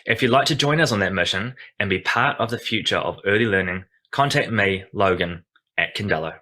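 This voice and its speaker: A man giving a polished, confident startup pitch as founder. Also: fairly quick, pushed and bright.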